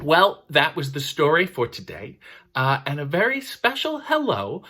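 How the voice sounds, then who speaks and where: Animated, bright-voiced man with wry asides. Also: fairly high pitch, unhurried, slight background noise. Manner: wry, mock-critical commentary. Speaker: storyteller host. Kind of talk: picture book reading with comic asides.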